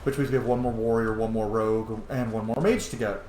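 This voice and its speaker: A man's voice: fairly low pitch, fairly even intonation, brisk, a little mellow. A man doing casual, chatty, informal gaming commentary as he plays. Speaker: gaming video host. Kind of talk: casual roleplay playthrough commentary. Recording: roomy sound with faint noise.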